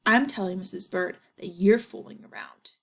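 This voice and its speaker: A woman's voice: mid-range voice, normal speed, gravelly. A woman voicing a whiny, bossy schoolboy tattletale in a storybook, nagging as he talks. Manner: whining, nagging.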